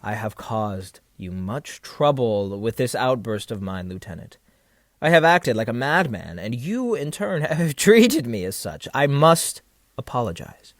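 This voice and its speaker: A man performs a vain, seductive military captain from a period audio play. His mid-pitched voice swings dramatically up and down as he schemes in florid, theatrical phrases, pausing for rhetorical weight. The sound is close and dry. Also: very animated, no echo. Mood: apologetic.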